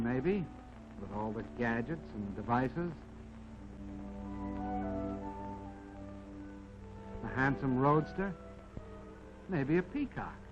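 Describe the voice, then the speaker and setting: A man's voice: medium pitch, close to an everyday voice. A man voicing a modest, plucky army jeep that tells its own life story in a folksy, wry 1940s film narration. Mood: wry, reminiscing. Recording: dull vintage 1940s film soundtrack, music behind.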